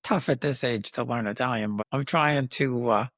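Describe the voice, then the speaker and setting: A man's voice: mid-range voice, moderate pace, a bit bright, no echo, clean studio sound. An older man from Queens with a New York accent, hosting a friendly, chatty interview. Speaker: interviewer host. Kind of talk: casual conversational interview.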